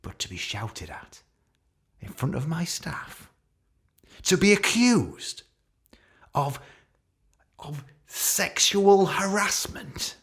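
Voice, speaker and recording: Pompous British police boss, swooping delivery. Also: male voice, on the high side, sing-song, fairly bright tone, heavily acted. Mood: aggrieved, self-righteous. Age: middle-aged. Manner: pompous, passive-aggressive, self-justifying, halting. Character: self-pitying, pompous middle-aged male senior police officer giving a speech. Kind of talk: radio play monologue.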